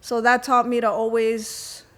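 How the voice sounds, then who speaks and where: A middle-aged woman from Venezuela speaks in a slightly high voice. She tells her story casually as an interview guest, animated and emphatic in her delivery. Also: unhurried. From Venezuela, moved to the US at age eight. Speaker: podcast guest, lash artist and educator. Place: guest in the host's home.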